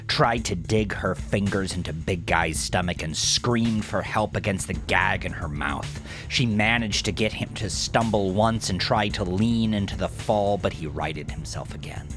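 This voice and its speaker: A man narrating scripted horror fiction as a storyteller, with a deliberate, dramatic delivery. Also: low voice.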